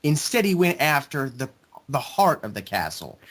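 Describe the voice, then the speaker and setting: A man's voice: medium pitch, sing-song, normal speed. A man casually explaining game lore in an assertive way. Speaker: member of a gaming video group.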